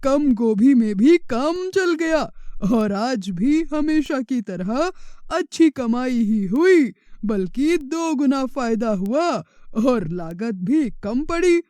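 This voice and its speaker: An actor playing a greedy, boastful village momo seller and husband in an animated moral story, expressive and self-satisfied. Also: sits high, slow pace, no echo. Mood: gleeful scheming.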